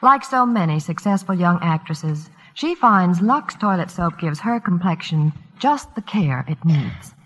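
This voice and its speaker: A woman's voice: lowish voice, animated, a little slow, hardly any pauses, a bit bright, husky. An American woman as a Hollywood reporter reading scripted 1940s radio promotion. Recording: muffled old radio broadcast in reverberant hall.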